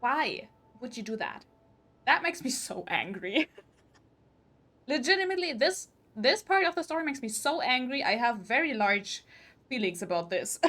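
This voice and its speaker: A woman's voice: fairly high pitch, big pitch swings, a little slow, sharp tone. A woman streamer commenting live on a game, outspoken and emotionally invested as she reacts aloud. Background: faint game music.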